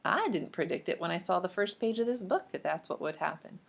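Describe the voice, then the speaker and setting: Creaky-voiced woman teacher, expressive delivery. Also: lowish voice, a little fast. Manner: explanatory, encouraging, asks guiding questions. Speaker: speech-language teacher. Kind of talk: children's read-aloud lesson with commentary.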